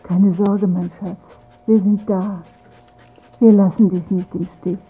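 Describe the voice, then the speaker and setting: A woman's voice: medium pitch, steady tone, slow pace, husky. A woman acting in a radio drama as a loving wife and mother, soothing and encouraging. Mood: reassuring, relieved. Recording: thin, phone-like muffled band.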